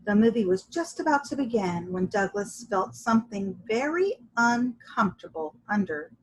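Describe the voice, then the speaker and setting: Husky woman reading a children's story, very animated. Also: medium pitch, rough recording. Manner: expressive, dramatic, deliberate. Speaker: storybook reader.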